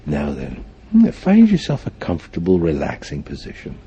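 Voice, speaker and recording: A man leading a guided meditation for a group, speaking in formal, deliberate phrases punctuated by frequent hums. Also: very deep voice, huge pitch swings, warm tone, husky. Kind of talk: guided meditation instruction before a live group.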